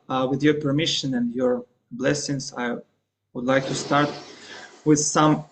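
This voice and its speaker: A man with a Russian accent, a native Russian speaker, giving a scripture class in English with many pauses, fillers and restarts. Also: medium pitch, poor audio. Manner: halting, frequent fillers and restarts; hesitant non-native English. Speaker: guest lecturer.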